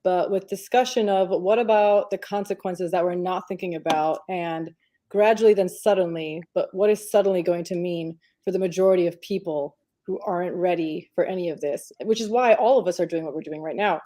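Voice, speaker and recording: A woman's voice: lowish voice, brisk, mellow. A woman from Romania, a podcast panelist, telling a story in a casual panel discussion and acting out family dialogue.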